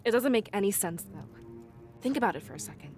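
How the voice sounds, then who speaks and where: A woman's voice: mid-range voice, lively intonation, quick pace, a little mellow, soft background music, natural voice. A woman playing a doubtful, urgent female adventurer in a fantasy video game. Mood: disturbed.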